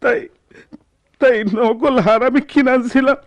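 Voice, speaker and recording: An actor playing a humble, ashamed goldsmith father in a radio drama, halting and heartfelt, with a rural Bangladeshi accent. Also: extremely high pitch, dull audio. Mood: anguished, pleading. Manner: halting, repeats himself with feeling. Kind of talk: Bengali radio play acting.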